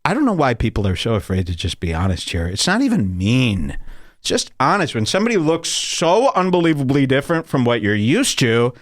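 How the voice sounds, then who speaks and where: List: man, podcast host, comedic rant, loose and provocative, animated pitch, dry close sound. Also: fairly quick, no echo. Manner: loose, provocative, laughs at own jokes.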